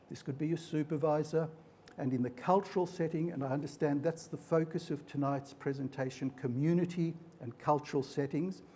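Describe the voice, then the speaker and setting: A man's voice: a little high, mellow. A man whose mother tongue is Hungarian, a clinician giving a live presentation in an explanatory, didactic manner. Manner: explanatory, didactic, speaks in metaphors. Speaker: clinician presenting at a mental health forum.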